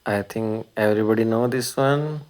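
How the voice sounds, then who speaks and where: A man's voice: lowish voice, normal speed, darkish tone. A man presenting a children's lesson in short, simple phrases, often prompting listeners with questions. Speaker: presenter of a children's learning video. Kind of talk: simple educational narration for young children, asking questions.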